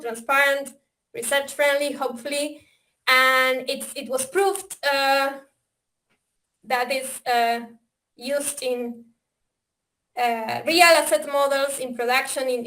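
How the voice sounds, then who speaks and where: A woman with an Argentinian accent presents a technical webinar in English over a video call. Her voice sits fairly high. She talks hesitantly, filling gaps with "uh" and restarting her phrases. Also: pushed and bright. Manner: hesitant, many fillers and restarts. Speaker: software developer presenting.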